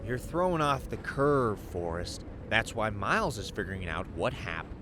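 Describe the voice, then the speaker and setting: Swaggering low-voiced criminal gang boss. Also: male voice, big pitch swings, moderate pace, noisy background. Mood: curt, mocking. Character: commanding male gang boss, gruff and shrewd supervillain. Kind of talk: audio drama acting.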